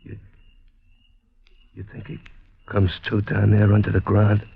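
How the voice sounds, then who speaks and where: Radio noir accomplice: low, gravelly, jumpy, reluctant, stumbling over words. Dull 1940s sound. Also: male voice, monotone, a little slow, heavily acted. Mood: haunted, hesitant. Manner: nervous, hesitant, stammering repetitions. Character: jittery, reluctant male accomplice, fearful and guilt-ridden. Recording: muffled 1940s radio recording.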